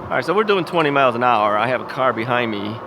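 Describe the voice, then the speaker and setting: A man with a gravelly, creaky voice chats as he rides an ebike outdoors. He rambles with easy chuckles, talking into a bike-mounted camera over clear traffic and wind noise. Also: medium pitch, expressive, fast. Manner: rambling, chuckling, self-questioning. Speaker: vlogger. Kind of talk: casual thinking aloud while riding. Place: outdoors on suburban streets, riding an ebike.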